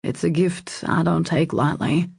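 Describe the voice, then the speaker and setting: A woman voicing a devoted, protective man in an audiobook romance, in a low husky murmur, earnest, intimate and reassuring. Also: unhurried, a little mellow, no echo, clean studio sound, full character voice. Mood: tender, earnest.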